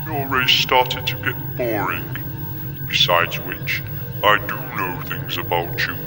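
Man acting a deep, booming alien god. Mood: scornful. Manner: grandiose, slow, portentous. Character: pompous godlike alien Creator, boring, petty, self-important. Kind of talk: comedy sci-fi radio play acting. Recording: long reverb, music behind.

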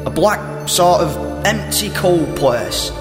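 A man's voice: high-pitched, expressive, takes it slowly, music in the background. A man playing a pompous, lecherous starship captain in a comedy radio play, grandiose but chummy. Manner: grandiose narrating, slipping into chummy banter.

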